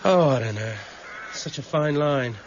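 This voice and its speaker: An actor playing a weary, measured senior police detective with quiet authority and an English accent, in a radio drama. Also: male voice, a little high, expressive. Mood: weary, reflective. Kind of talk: 1990s British radio drama acting. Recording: muffled, noisy old radio broadcast recording.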